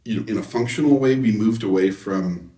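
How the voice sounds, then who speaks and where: A man with a low voice talks through technical design in a casual interview. He explains haltingly, restarting phrases as he goes. The sound is roomy, picked up at some distance from the microphone. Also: warm tone. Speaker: loudspeaker designer being interviewed. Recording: echoey room, distant microphone.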